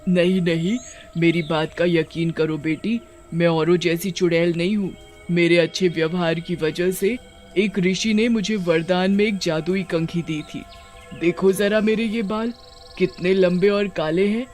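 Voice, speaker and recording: A man voicing a kindly, motherly river witch who speaks gently and reassuringly in an animated fairy tale. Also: high voice, measured pace, soft background music. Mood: gentle, reassuring.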